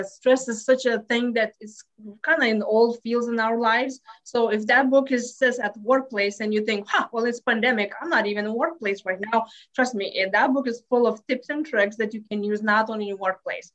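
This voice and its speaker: A woman's voice: on the high side, moderate pace, a bit bright, dull audio. A woman in her forties with a Lithuanian accent, a stress management coach telling her story in an interview, with many fillers and slightly broken English.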